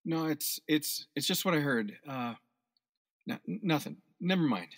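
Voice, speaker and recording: An actor in a radio play voicing a cocky government agent who becomes increasingly rattled. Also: male voice, fairly high pitch, expressive, normal speed, vocal fry. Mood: shaken, confused.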